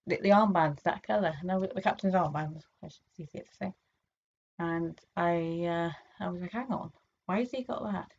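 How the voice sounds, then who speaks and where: A woman from England chatting casually to camera in a vlog, her throat a little sore and hoarse, rambling with lots of ums and restarts. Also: medium pitch, darkish tone, highs cut off. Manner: rambling, many fillers and restarts. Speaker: vlogger.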